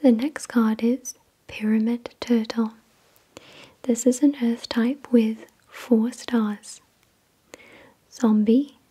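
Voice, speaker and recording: A woman whispering in a breathy, unvoiced voice, reading in a gentle, measured ASMR style. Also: on the high side, steady tone. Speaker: ASMR host. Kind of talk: whispered ASMR card unboxing, scripted reading.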